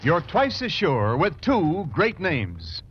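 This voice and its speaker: A man's voice: a little high, huge pitch swings, slow, breathy edge, dry recording. A man announcing and narrating a scripted 1940s radio show in a grand, dramatic manner. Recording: dull 1940s radio recording with music. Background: loud music.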